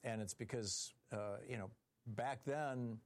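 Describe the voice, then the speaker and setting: A man's voice: medium pitch, takes it slowly. An older man hosting a call-in radio show, talking conversationally and repeating words as he thinks. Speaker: radio talk show host.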